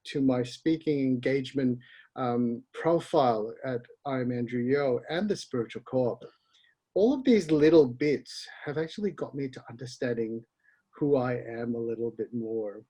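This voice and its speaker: A middle-aged man from Malaysia with a husky, slightly breathy voice chats in a loose, rambling way. He laughs easily and wanders between thoughts, with a pitch that sits in the middle for a man. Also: normal speed, mellow. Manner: laughs often, rambling and open. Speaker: founder being interviewed. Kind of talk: casual interview chat.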